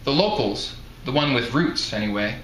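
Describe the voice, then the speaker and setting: A man's voice: mid-range voice, animated, normal speed, fairly bright tone, lightly acted. A man voicing a chatty, blunt elderly woman bartender in a folksy manner while reading a novel aloud. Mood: folksy, confiding. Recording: echoey room, faint background noise.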